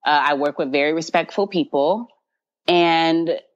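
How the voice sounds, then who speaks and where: Twenties woman, low voice, self-assured casual interview guest, muffled podcast sound. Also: normal speed. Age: 20s. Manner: confident, laughs often, repeats fillers like "you know".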